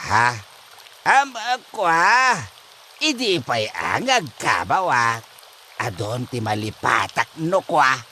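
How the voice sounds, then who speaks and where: A man's voice: medium pitch, very animated, takes it slowly, sharp tone, slight background noise, exaggerated voice. A man playing a forgetful, evasive old husband in a comedy radio drama, stammering his way through excuses. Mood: confused, evasive. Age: older. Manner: bumbling, stammering evasions with many interjections.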